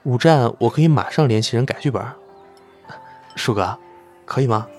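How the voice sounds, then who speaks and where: A man's voice: mid-range voice, moderate pace, darkish tone, soft background music, close to an everyday voice. An actor playing an eager, deferential young male film star in a Chinese audio drama. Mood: persuasive.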